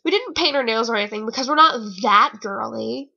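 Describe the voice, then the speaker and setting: A woman's voice: a little high, fairly quick, vocal fry, bright, forceful tone, breathy edge. A young woman reading fan fiction aloud in a casual, informal way, with rambling, sarcastic commentary. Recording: dull lo-fi home recording.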